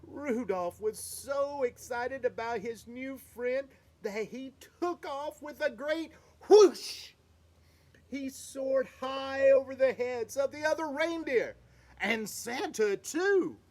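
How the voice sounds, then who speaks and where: Playful guy reading a kids story, high voice, slow and bouncy. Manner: playful, talks to the audience. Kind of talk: animated children's book read-aloud.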